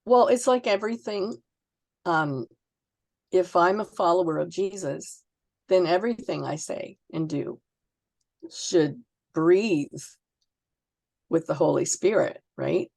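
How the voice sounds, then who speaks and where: A woman author being interviewed, answering conversationally in a reflective, halting way as she searches for words. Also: lowish voice, vocal fry, a little mellow, no room sound.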